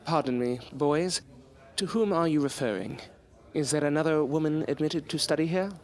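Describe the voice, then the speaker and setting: An actor playing an earnest, devoted young medical assistant, speaking formally and reverently in a Gothic audio drama. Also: male voice, on the high side, moderate pace, close to an everyday voice. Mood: polite defiance. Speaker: actor narrating and playing a character.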